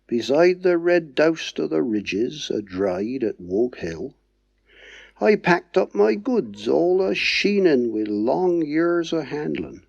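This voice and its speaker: A man with a Dorset accent reciting dialect poetry in a measured, mournful way. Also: a little high, gravelly, a little mellow, no room sound, muffled recording.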